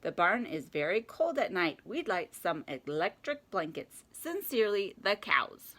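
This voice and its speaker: A woman's voice: mid-range voice, sing-song, a little slow, fairly bright tone, slight background noise. A woman voicing farm cows who read out a polite, firm letter in a children's storybook. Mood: politely requesting.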